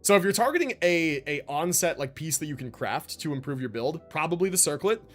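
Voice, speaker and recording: A man's voice: on the high side, animated, fairly quick, soft background music. A man doing casual livestream commentary on a game, explaining conversationally and often checking in with the listener.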